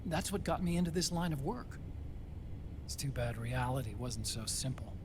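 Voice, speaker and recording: A man with an American accent playing an earnest, guilt-ridden scientist in a video game cutscene, speaking softly and haltingly. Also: mid-range voice, expressive, background noise, natural voice. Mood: regretful, reflective. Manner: hesitant, halting, soft-spoken.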